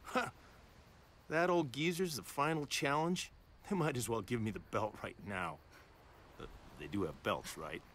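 An actor playing a cocky, vain young action star in a video game, brash, casual and wisecracking. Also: male voice, mid-range voice, measured pace, fairly bright tone, a little hiss or hum, close to an everyday voice. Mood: mocking. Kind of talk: video game cutscene acting.

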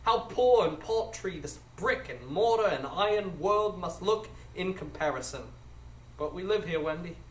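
A man's voice: high voice, expressive, a little slow, fairly bright tone. A man playing a melancholy, disillusioned man in a live radio drama, plaintive and florid in his speech. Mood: anguished, resigned. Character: Michael Darling, melancholy, disillusioned grown man. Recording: distant echoey live stage.

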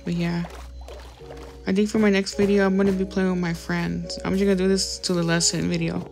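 A woman's voice: lowish voice, little pitch movement, brisk, vocal fry, darkish tone, soft background music. A woman talking casually over her gameplay as a YouTuber, rambling and apologizing often, with many fillers. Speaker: gaming YouTuber.

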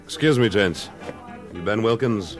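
A man's voice: on the low side, animated, measured pace. An actor playing a tough, laconic American cattleman in an old-time radio western, speaking in a terse, self-assured way. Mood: cool, confident. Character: tough, laconic cattleman gunslinger, a former cavalryman. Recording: old radio recording, faint music.

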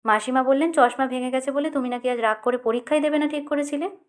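A woman's voice: high-pitched, steady tone, quick pace, voice pushed up, close to an everyday voice. A woman voicing an anxious, affectionate young woman who fusses and coaxes in an audiobook story. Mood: fussing. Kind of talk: audiobook character dialogue.

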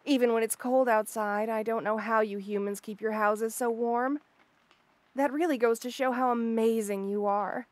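A woman plays an obsessive monster girl, half snake, in an audio roleplay. Her voice is on the high side and recorded close and dry. She gushes hesitantly, shy yet unsettling, stammering coyly as she confides. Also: fairly even intonation, normal speed, no echo, natural voice. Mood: chatty, admiring. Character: obsessive lovestruck female rattlesnake lamia, shy yet unsettling. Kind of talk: intimate audio roleplay monologue.

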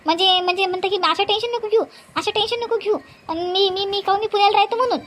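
A woman's voice: very high-pitched, fairly even intonation, fast talker, fairly bright tone, a little hiss or hum. A woman playing an aggrieved married sister in a comedy cartoon, accusing and repetitive, with a Vidarbha Marathi accent. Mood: hurt, accusing. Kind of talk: exaggerated cartoon family comedy.

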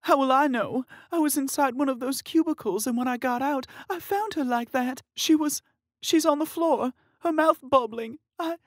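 A woman doing a distraught young woman, her voice pitched far up into a shrill, loud wail. She sobs and panics, recorded close and dry with no room around her. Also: normal speed, no room sound, close to an everyday voice. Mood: panicked sobbing.

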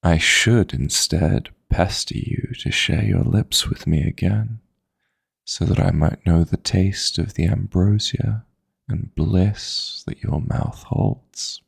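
A man playing a seductive, possessive yet tender dream demon lover in an intimate audio roleplay, murmuring flirtatious endearments in a husky, breathy voice close to the mic. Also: takes it slowly, dry recording, heavily acted. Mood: tender, flirty. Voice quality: gravelly, husky, breathy low voice. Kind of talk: intimate romantic sleep-aid audio roleplay. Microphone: close, dry studio-style microphone.

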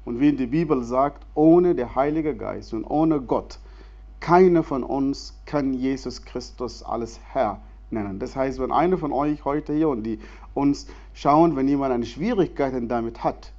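A man's voice: mid-range voice, measured pace, warm tone. Man, muffled church interview. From Sudan. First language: Arabic. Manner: earnest, many hesitations, non-native grammar, laughs easily. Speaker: guest speaker, theologian. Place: church hall.

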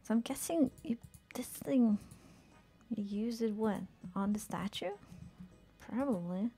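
A young woman streaming a game, murmuring casual commentary to herself and often slipping into a whisper, with a soft, soothing voice. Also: fairly high pitch, unhurried, slightly rough, soft background music.

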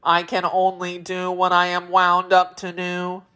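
A woman voicing a stern, unemotional male machine man in a children's storybook, deliberate and matter-of-fact. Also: fairly low pitch, monotone, bright, forceful tone, clear, resonant tone, faint music behind.

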